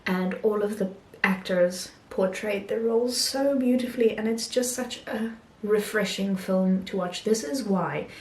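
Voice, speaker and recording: A woman's voice: medium pitch, measured pace, hardly any pauses. Hesitant woman reviewing films, roomy sound. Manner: hesitant, many ums and restarts. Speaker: film vlogger. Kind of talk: casual film review talk.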